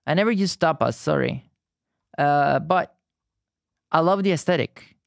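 Southern Italian male streamer, thirties, halting friendly chat, dry close sound. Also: on the high side, creaky, no echo. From Italy. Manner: halting, frequent restarts and fillers, friendly. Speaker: art streamer. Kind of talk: casual live-stream chat while painting.

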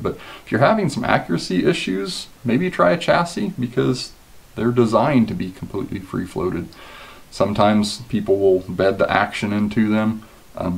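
Low-voiced casual man explaining rifle gear. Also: creaky. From Kansas, USA. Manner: relaxed and conversational, with frequent ums and you-knows. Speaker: competition shooter and channel host. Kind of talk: casual gear talk to camera. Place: small indoor gun room with mounted antlers.

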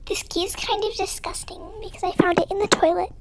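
A woman's voice: very high voice, steady tone, quick pace, in a whisper. A young woman giving casual, nervous commentary as she plays a horror game, with an informal, jumpy manner. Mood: nervous whispering. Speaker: gamer, video creator.

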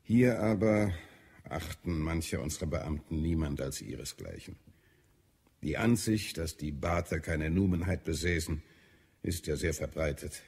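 Casting: grave, irritable alien health adviser for audio drama; deep and creaky. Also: male voice, darkish tone, heavily acted. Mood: irritable, weary. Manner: grave, edgy, deliberate. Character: irritable, weary male Martian health adviser, grimly concerned. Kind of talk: German audio drama acting.

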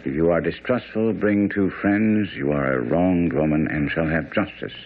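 An actor playing a brisk, self-assured Victorian gentleman detective in a radio drama, formal and commanding. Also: deep voice. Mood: keen, brisk. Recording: tinny old radio broadcast, faint noise.

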